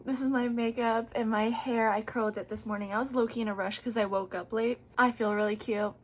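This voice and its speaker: A woman's voice: fairly high pitch, fairly even intonation, a little fast, heavy background noise. Chatty young woman vlogging. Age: 20s. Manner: chatty, rambling with many "like" fillers. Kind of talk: casual vlog chat. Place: indoors and in a car. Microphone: phone camera.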